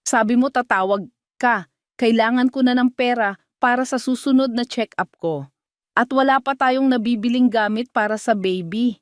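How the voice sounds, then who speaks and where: A woman's voice: fairly high pitch, moderate pace, dry recording, clean studio sound, natural voice. A woman voicing an irritated, sulky pregnant mistress in an audio drama, petulant and demanding. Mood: annoyed, sulky.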